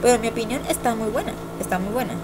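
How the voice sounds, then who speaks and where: A young woman speaks in a fairly high voice over clearly audible noise. She gives her opinions casually, like a homemade vlog, wandering and hesitating as she goes and often circling back to repeat a phrase. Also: rapid-fire. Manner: rambling, repetitive, hesitant. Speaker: amateur video reviewer. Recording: noisy amateur home computer recording.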